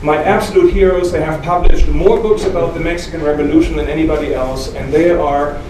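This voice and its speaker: Male historian, German accent, higher-pitched voice, addressing a live audience from off mic in a very echoey, noisy room. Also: fairly even intonation, brisk. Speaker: speaker, historian and author. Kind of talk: public talk to a live audience. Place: indoor museum event room with audience. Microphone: not speaking into a microphone, picked up from distance. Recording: roomy, noisy hall, off microphone, distant.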